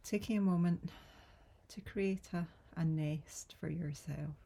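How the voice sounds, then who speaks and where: A woman guiding a yoga nidra relaxation in a soft, breathy, soothing voice, gentle and unhurried with long pauses. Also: on the low side. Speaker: yoga nidra meditation guide. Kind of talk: guided relaxation meditation.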